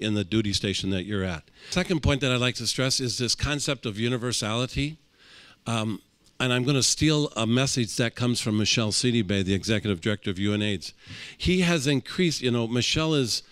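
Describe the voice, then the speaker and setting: A man's voice: mid-range voice, dry recording. A middle-aged man speaking earnestly and emphatically on a panel into a handheld mic. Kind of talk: panel remarks. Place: conference room.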